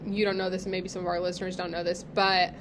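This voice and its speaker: A woman who is still getting over being sick recaps a TV episode on a podcast. She talks casually and fills her sentences with "like" and "um". A steady noise runs under her voice. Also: fairly low pitch, fast. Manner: chatty, many fillers like "like" and "um". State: recovering from illness. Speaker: podcast co-host.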